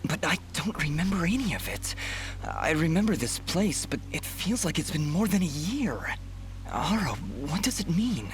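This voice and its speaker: Brooding young male game hero, earnest, breathy and troubled, music clearly behind him. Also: fairly high pitch, sing-song, normal speed. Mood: troubled, confused. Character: brooding young male anthropomorphic warrior with lost memory. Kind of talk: video game cutscene acting.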